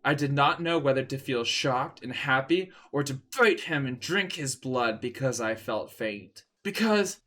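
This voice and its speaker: A man's voice: medium pitch, a little slow, hardly any pauses, sharp tone. A man streaming a comedic dramatic reading of a story, in a theatrical, mock-serious way.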